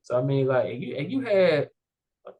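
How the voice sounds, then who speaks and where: A man's voice: mid-range voice, big pitch swings, brisk, mellow, lo-fi sound. A young adult man hosting a casual interview show, talking loosely and upbeat with many fillers. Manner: rambling, heavy "like" and "you know" fillers, upbeat. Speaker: podcast host.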